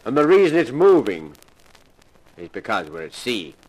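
A man's voice: mid-range voice, wildly swooping pitch, normal speed, a little mellow, low-quality recording. An actor playing a cheerful, mocking man aboard a ship in 1950s radio drama style. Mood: amused.

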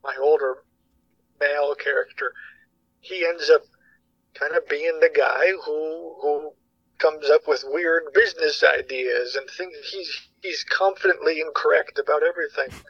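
A man's voice: a little high, steady tone, unhurried, fairly bright tone, slightly rough, dry recording, lo-fi sound, full character voice. A man voicing an older man who is confidently wrong about everything, with a rambling, self-assured manner.

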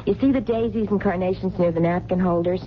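An actress playing a soft-hearted, wisecracking working-class woman who runs a late-night diner in a radio drama, with blunt colloquial talk. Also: fairly low pitch, quick pace, lightly acted. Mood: breezy, advising. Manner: streetwise, colloquial, ungrammatical. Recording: dull, noisy vintage radio broadcast.

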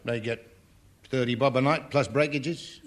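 An actor playing a shady, breezy Cockney wrestling promoter in 1950s British radio comedy, talking fast in matter-of-fact slang. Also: male voice, medium pitch, steady tone, natural voice. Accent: London Cockney. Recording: noisy old radio recording.

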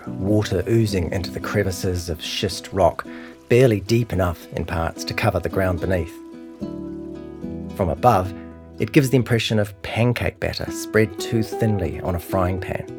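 A man reading a scripted longform article as narrator. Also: sits low. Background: guitar music.

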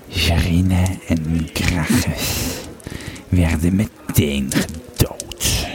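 A man playing a Roman legionary who tells his war story in an audio drama, with a gravelly, halting, dramatic delivery. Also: rumbling low, exaggerated voice. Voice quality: gravelly, creaky. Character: Roman legionary recounting his harrowing escape and mission. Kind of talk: dramatised first-person storytelling in an audio play. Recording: busy crowd chatter behind the voice. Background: crowd noise.

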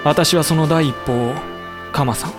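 An actor playing a thoughtful samurai official who narrates a war in measured, explanatory audio drama style. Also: male voice, a little high, over music, natural voice. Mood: measured narration. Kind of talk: scripted dramatic narration and acting.

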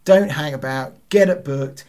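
A man's voice: fairly high pitch, moderate pace, darkish tone. A man hosting a podcast, joking and upbeat as he chats and plugs a sponsor. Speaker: podcast host. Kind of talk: casual interview chat.

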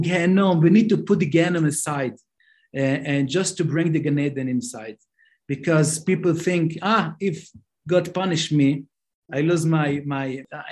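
A man, a rabbi, speaking as a guest, with an of Yiddish and many halting restarts. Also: a little high, darkish tone. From Israel. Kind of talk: interview answers.

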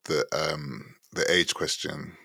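Very deep, gravelly young interviewer. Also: male voice, steady tone, takes it slowly, pushed and bright, dry recording. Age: 20s. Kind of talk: conversational interview.

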